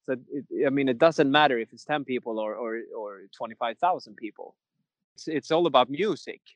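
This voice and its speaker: A man's voice: mid-range voice, brisk, no echo. Rambling man, dry sound. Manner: rambling, many restarts, laughs often. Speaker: metal singer, guest. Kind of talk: casual interview chat.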